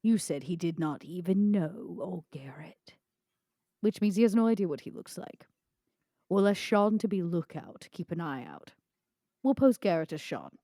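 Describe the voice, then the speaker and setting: A woman playing a haughty, scheming female vampire in a tabletop game, with a dry, sardonic, deliberate delivery. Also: on the low side, expressive, dark tone, no room sound. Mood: conspiratorial.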